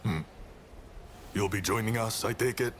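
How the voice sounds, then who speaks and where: An actor playing a boisterous, blunt samurai warrior with a hearty, swaggering delivery in a video game. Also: male voice, on the low side, normal speed, a little hiss or hum, close to an everyday voice. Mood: grudging respect. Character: boisterous, grudgingly respectful samurai warrior, fond of drink. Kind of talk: dramatic video game dialogue.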